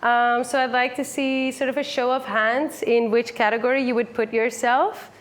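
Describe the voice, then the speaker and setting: A woman's voice: fairly high pitch, steady tone, moderate pace. A woman in her twenties from the Netherlands, giving a casual, upbeat meetup talk to a full room, with lots of "like" and "um". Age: 20s. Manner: upbeat, chatty, many fillers like "like" and "um". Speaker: meetup speaker. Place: full room with a live audience.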